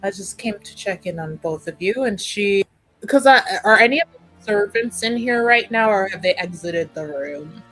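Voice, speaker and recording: A woman's voice: mid-range voice, expressive, moderate pace, fairly bright tone, faint music behind, low-quality recording. A woman casually improvising narration as a player in a tabletop roleplaying game.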